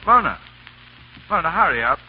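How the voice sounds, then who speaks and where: An actor playing a brisk, boyish young gentleman in love, in 1930s radio romantic comedy. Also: on the high side, sing-song, background noise. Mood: brisk, impatient. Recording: muffled 1930s radio recording with music.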